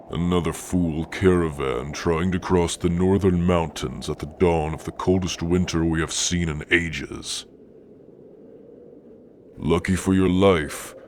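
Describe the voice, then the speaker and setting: A man voicing a grim, domineering orc warrior of the frozen mountains in a deliberate, menacing ASMR roleplay monologue. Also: deep voice, slight background noise. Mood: cold, ominous. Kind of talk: slow, scripted ASMR roleplay monologue addressed to listener.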